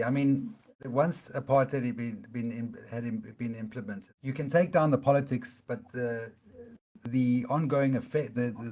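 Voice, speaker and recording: Guest role: middle-aged man, Cape South African accent, halting and repetitive, mid-range voice. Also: medium pitch, darkish tone. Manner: halting, many restarts, tags points with "okay?". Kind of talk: explanatory interview talk.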